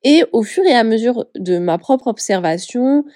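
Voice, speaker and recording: A woman's voice: fairly high pitch, lively intonation, moderate pace, mellow, dry recording, studio quality. A woman with a head cold and a stuffy nose, speaking with a Southern French accent as she hosts a casual solo podcast as a personal coach. She talks in a chatty, hesitant way, often searching for words and correcting herself.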